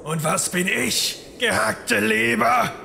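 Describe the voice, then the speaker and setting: Croaking, grumpy goat-man. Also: high-pitched, takes it slowly, bright, forceful tone, voice pushed up, heavily acted. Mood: sulky, gruff. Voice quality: gravelly, creaky. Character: grumpy, cheeky male goat-man creature. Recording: clearly noisy background with ambience.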